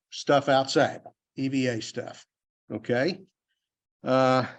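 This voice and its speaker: Older Texan man, retired engineer type, folksy conference presenter, plain and rambling. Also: mid-range voice. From Texas. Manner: plainspoken, self-deprecating, loose and rambling. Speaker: conference speaker. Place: university convention hall.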